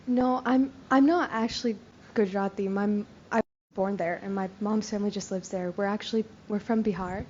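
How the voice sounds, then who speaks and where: A woman's voice: mid-range voice, fairly even intonation, darkish tone. A young woman from India chatting casually and hesitantly, often correcting herself. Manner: hesitant, fillers and quick corrections. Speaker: youth retreat participant. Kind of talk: casual chat. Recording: dull lo-fi recording with faint noise.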